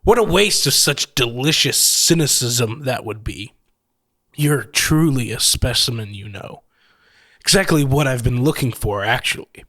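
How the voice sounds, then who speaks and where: An actor playing a gloating, sardonic killer who collects machines, with mocking, theatrically polite menace in an audio horror story. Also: male voice, medium pitch, animated, measured pace, a bit bright. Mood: amused, sardonic.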